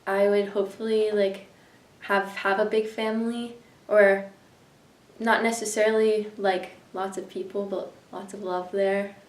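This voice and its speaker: A woman's voice: mid-range voice, flat delivery, measured pace. A young woman answering interview questions in a casual, tentative way, often qualifying what she says. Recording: echoey room, distant microphone.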